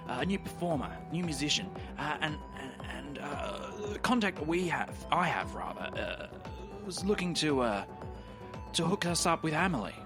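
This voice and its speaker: A man playing an awkward, over-eager male broker in a tabletop game, halting and stammering. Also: a little high. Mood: nervous, eager. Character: hustling, awkward, easily embarrassed male broker.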